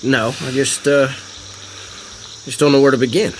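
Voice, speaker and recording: An actor playing an earnest documentary filmmaker, hesitant and excitable, in an audio drama. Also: male voice, medium pitch, normal speed, slight background noise, natural voice. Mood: hesitant, nervous. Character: earnest, easily flustered male documentary filmmaker. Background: faint crickets and insects.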